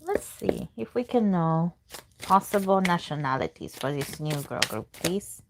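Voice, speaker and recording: Woman, native Spanish speaker, casual tarot reader, low voice, muses aloud while pulling cards. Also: expressive, measured pace, background noise. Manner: chatty, thinking aloud, murmurs while reading cards. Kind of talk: live tarot reading, answering chat questions.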